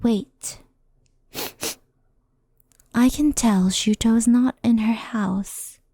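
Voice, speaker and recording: Woman as a soft, slow, whispery fox spirit. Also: fairly high pitch, steady tone, a little hiss or hum. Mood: cautious. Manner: soft, breathy, often whispered, unhurried. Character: centuries-old female fox spirit, gentle, anxious storyteller. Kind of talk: ASMR roleplay. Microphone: close microphone.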